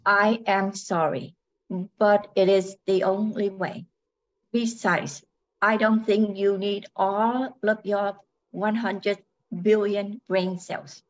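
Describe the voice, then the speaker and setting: A robot wife acted by a woman in a radio drama, unhurried and courteous. She states her need to be human with cool, apologetic logic. The sound is slightly dull and lo-fi. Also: mid-range voice, steady tone, slight background noise, close to an everyday voice. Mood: matter-of-fact, insistent. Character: polite robot wife draining brain cells to become human. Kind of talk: radio play acting.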